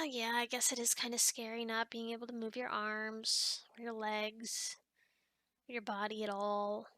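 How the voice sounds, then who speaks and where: A woman's voice: high voice, a bit bright, dry recording, studio quality. A woman playing a chatty, fussy sleep paralysis demon in an ASMR roleplay, rambling casually and criticizing playfully straight to the listener. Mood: chatty, upbeat.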